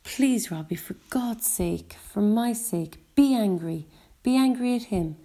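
A woman's voice: medium pitch, moderate pace, gravelly, mellow. A woman voicing an anxious mother in an audiobook story, earnest and urging as she speaks to those around her. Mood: desperate, pleading. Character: anxious, pleading mother at her sick son's bedside.

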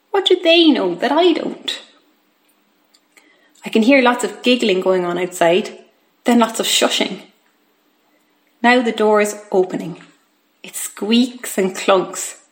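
A woman voicing an excitable, football-mad boy who narrates a story in an expressive, confiding, childlike way. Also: mid-range voice, lightly acted. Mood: puzzled, alert. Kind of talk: first-person audiobook narration.

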